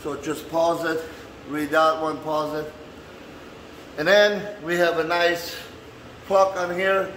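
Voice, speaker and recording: Man, casual vlog host, halting folksy phrasing, unhurried pace, roomy echo with light background noise. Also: a little high, level tone, fairly bright tone. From Canada. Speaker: vlogger and handyman host. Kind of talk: casual walk-through chat to camera. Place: indoors in a man cave workshop.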